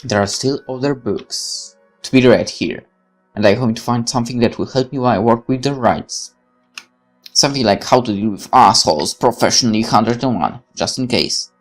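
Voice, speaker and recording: A woman's voice: rumbling low, normal speed, faint music behind. A woman reading a visual novel aloud as a let's player, breaking off into candid, opinionated commentary with some swearing. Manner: opinionated, exclamatory, swears freely. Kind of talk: reading game text with candid side commentary.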